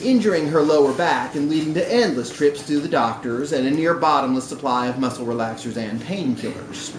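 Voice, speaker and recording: A man's voice: medium pitch, a little fast. A man reading a short story aloud expressively at a live event, indoors in a bar. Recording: roomy, noisy live bar recording.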